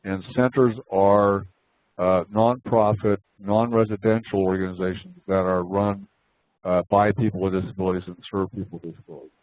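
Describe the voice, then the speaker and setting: A man with a cold and a slightly raspy voice giving a prepared overview of his organization, with frequent "uh" fillers. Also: low voice, little pitch movement, moderate pace, no echo. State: has a cold. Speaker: nonprofit organization representative. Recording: dry, tinny phone line.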